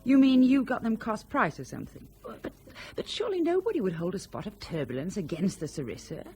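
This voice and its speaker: Lively woman journalist. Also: medium pitch, a little hiss or hum. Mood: curious. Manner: brisk, probing. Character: inquisitive, persistent British woman journalist. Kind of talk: radio drama acting.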